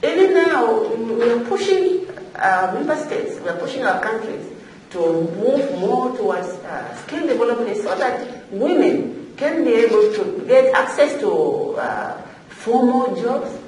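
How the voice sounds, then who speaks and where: A woman with a Tanzanian accent giving semi-formal panel remarks off the cuff, halting, repetitive and emphatic. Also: on the high side, sing-song, a little mellow. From Zanzibar, Tanzania. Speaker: forum panelist, African regional official. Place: echoey indoor forum room. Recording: echoey room, distant mic, faint noise.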